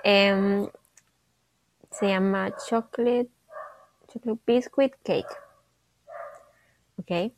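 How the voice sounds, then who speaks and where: A woman's voice: mid-range voice, steady tone, drawn-out words, pauses often, dry recording. A woman, chatting casually and talkatively as a podcast co-host, slipping in the odd English phrase. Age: 20s. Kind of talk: casual chat.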